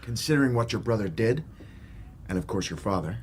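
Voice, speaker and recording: An actor playing a cool, world-weary government agent in a radio drama, measured, dry and probing. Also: male voice, fairly low pitch, lively intonation, a little hiss or hum, natural voice. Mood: probing, brusque. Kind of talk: naturalistic radio drama acting.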